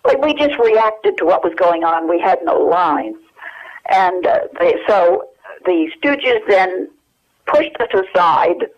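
Older woman, phone-in guest, halting and chatty; muffled telephone sound. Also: fairly low pitch, expressive. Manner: rambling, halting with many fillers. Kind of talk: telephone interview.

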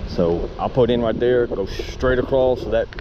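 A man's voice: medium pitch, measured pace, muffled recording. A man, talking casually to his action camera while fishing at a wooded lakeshore. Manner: laid-back, rambling, drawling asides. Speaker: fishing vlogger. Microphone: head-mounted action camera. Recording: clear wind noise on the mic. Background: wind.

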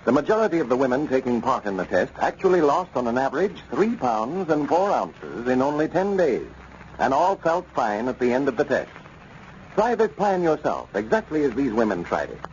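Old-time American radio announcer, lively intonation. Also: male voice, mid-range voice, normal speed. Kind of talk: 1930s-40s scripted radio advertising read. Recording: dull, noisy vintage radio recording.

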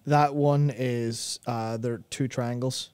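A man's voice: mid-range voice, fairly even intonation, takes it slowly, darkish tone, smooth, no room sound. A man in his thirties chatting casually and analytically as a co-host, explaining things in a rambling, self-correcting way into a close studio microphone. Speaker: co-host of a gaming discussion show. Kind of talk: casual analytical chat about game lore. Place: small indoor studio with acoustic foam. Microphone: close broadcast microphone on boom arm.

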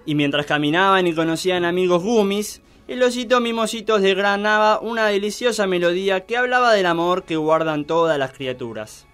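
High-pitched male storyteller. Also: animated, fairly quick, few pauses, fairly bright tone, smooth, soft background music. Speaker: narrator. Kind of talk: mock children's storytelling, scripted narration.

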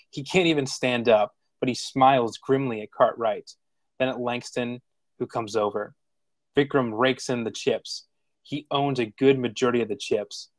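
A man narrating stage directions from a script in a measured, plain way. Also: medium pitch, no echo.

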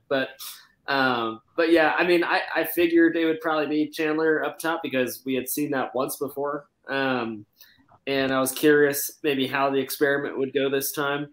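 Male panelist, thirties, mid-range voice, relaxed sports chat, roomy echo on a video call. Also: medium pitch, moderate pace. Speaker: podcast panelist. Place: indoor room at home. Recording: echoey room on video call.

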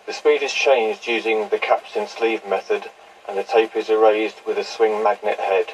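A man giving a measured, matter-of-fact scripted test recording and narration as a presenter of vintage audio equipment. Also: fairly low pitch, fairly even intonation. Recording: distant, noisy reel-to-reel tape test.

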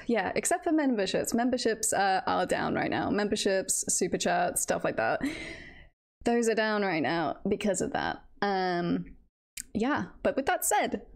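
A woman livestreamer chatting casually and telling stories in a giggly, self-deprecating, halting way. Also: medium pitch, sing-song, creaky. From United Kingdom. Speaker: livestreamer, VTuber host. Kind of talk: casual livestream chat, storytelling.

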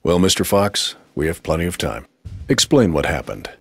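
An actor playing a stern, skeptical military officer in a video game, questioning a prisoner in a dry, formal way. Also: male voice, deep voice, very animated, normal speed, vocal fry, music in the background, exaggerated voice.

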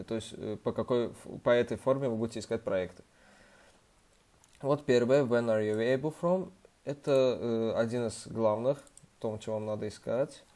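Casual young man with a mellow voice, slightly under the weather. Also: mid-range voice. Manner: chatty, explains with many fillers and restarts. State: slightly ill, voice a bit off. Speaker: student organization member giving a tutorial. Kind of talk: casual screen-recorded tutorial.

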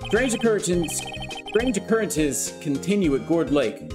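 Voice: mid-range voice, big pitch swings, measured pace. A reader voicing a formal TV news anchor in a game read-through, with music underneath. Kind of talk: mock news broadcast reading. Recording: background music.

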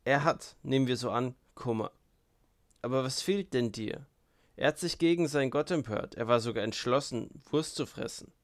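A man voicing a mocking, spiteful, boastful young man in an audiobook, loud and needling. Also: medium pitch, normal speed, no echo, clean studio sound, lightly acted. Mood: sneering.

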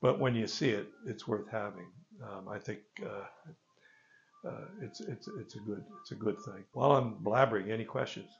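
An older man giving an informal product presentation in a gravelly voice, with halting, hesitant phrasing, recorded on a phone a few meters away. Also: on the low side, muffled recording, slight background noise. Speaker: loudspeaker company presenter. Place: carpeted listening room with stone wall, indoors. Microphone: phone camera a few meters away.

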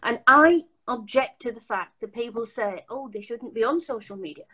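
An older woman hosts a casual video call from home, her voice sitting fairly high. She talks emphatically, often restarting her sentences as she presses a point. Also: lively intonation, normal speed, sharp tone, slightly rough, poor audio. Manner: chatty, emphatic, many restarts. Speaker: host. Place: home room.

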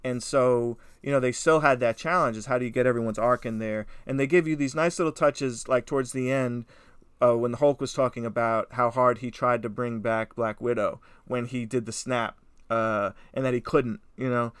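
Smooth-voiced man, casual and profane. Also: medium pitch, fairly even intonation, normal speed, no room sound. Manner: emphatic, profane, peppered with "you know" and "right?". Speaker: podcast co-host and film reviewer. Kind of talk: casual film discussion.

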